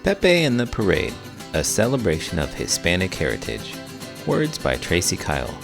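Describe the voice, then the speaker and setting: Male children's storyteller: lowish, crackly voice, expressive and animated, music underneath. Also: creaky. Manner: animated, big emotions. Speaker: children's book reader and host. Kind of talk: expressive storybook read-aloud.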